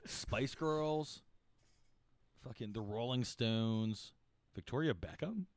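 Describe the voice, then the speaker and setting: A man hosting a casual podcast chat, excitable and swearing freely. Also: mid-range voice, takes it slowly, dry recording. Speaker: podcast host.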